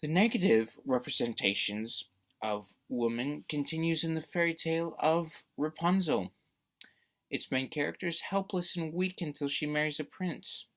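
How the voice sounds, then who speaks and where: Debate speaker, mid-pitched voice, prepared persuasive speech, formal wording, emphatic, rhetorical. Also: normal speed.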